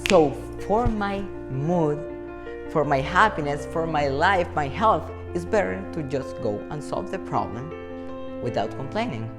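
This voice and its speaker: A man in his twenties with a Venezuelan accent, a motivational speaker talking to camera in a playful, animated way and acting out imagined dialogue. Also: on the high side, a little slow, over music. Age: 20s. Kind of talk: talk to camera.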